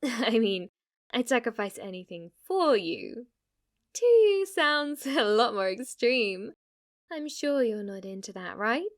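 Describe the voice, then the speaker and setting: A woman playing a playful, possessive, lovestruck witch in an ASMR roleplay, speaking to the listener in a teasing, cutesy way. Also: high voice. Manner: teasing, cutesy, swinging between coy murmurs and eager outbursts.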